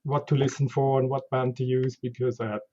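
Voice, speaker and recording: A man's voice: mid-range voice, little pitch movement, brisk, mellow, lo-fi sound, a little hiss or hum. A man from Switzerland with a Swiss German accent, a guest chatting casually about his background in an interview. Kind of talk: conversational interview.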